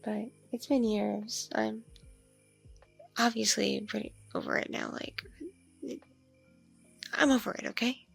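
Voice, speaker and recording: A woman playing a lonely, coy vampire mother in an ASMR roleplay, musing slowly in a husky, breathy, creaky voice with soft hums. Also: medium pitch, fairly even intonation, soft background music, full character voice. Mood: subdued. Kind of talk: ASMR audio roleplay, intimate one-sided conversation.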